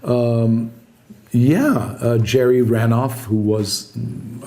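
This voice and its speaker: A middle-aged man being interviewed, reminiscing in a relaxed, anecdotal way with plenty of fillers. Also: medium pitch, very slow. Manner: relaxed, anecdotal, frequent fillers and repeated yeahs.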